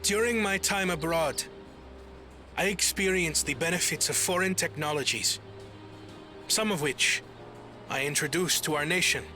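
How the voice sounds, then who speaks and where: A scholarly young prince with a lightly high male voice over clear background music. He is solemn, humbled and dutiful, speaking in formal, measured oratory for a video game cutscene. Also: pushed and bright. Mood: solemn, regretful.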